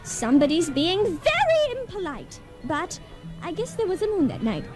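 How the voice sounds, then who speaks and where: A woman's voice: extremely high pitch, huge pitch swings, moderate pace, music in the background, heavily acted. A woman playing a tipsy, huffy society lady, fluttery and indignant, in an old radio comedy. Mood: huffy storytelling. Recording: old radio play on analogue tape.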